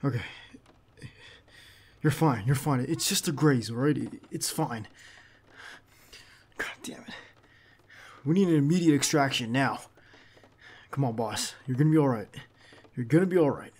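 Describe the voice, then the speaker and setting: A man acting a husky, protective bodyguard. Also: mid-range voice, expressive, a little mellow. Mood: urgent, alarmed. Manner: halting, urgent, reassuring. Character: protective male bodyguard, tense, earnest, loyal to boss. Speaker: ASMR roleplay performer. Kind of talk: ASMR roleplay acting.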